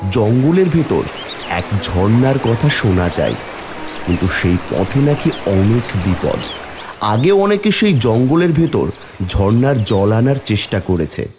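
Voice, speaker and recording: A man narrating a scripted fairy tale as a storyteller for an animated video. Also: on the low side.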